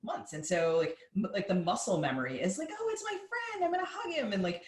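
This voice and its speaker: A woman hosts a podcast in a roomy, echoing sound. Her pitch sits in the middle, and she talks casually and informally, working out her thoughts as she goes. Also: very animated. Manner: informal, halting, frequent "like". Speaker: podcast co-host. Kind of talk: casual interview conversation.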